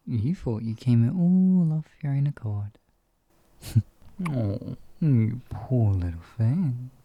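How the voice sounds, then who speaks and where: A man voicing a sadistic male wizard in an ASMR fantasy roleplay, cruel yet doting, teasing and cooing with soft whispers. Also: mid-range voice. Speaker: voice actor.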